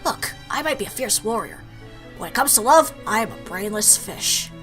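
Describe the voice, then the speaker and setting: A woman's voice: medium pitch, animated, normal speed, fairly bright tone, soft background music, lightly acted. A woman voicing a fierce, blunt female fish warrior from a game who is awkward about love. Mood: earnest. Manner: blunt, brash.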